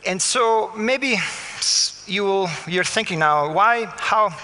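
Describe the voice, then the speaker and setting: A man with an Italian accent gives a prepared personal storytelling talk on stage, speaking English as a second language in deliberate short phrases with pauses for emphasis. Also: sits high, animated, pushed and bright. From Italy. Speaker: filmmaker giving a conference talk. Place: large hall stage in front of an audience. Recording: reverberant large hall.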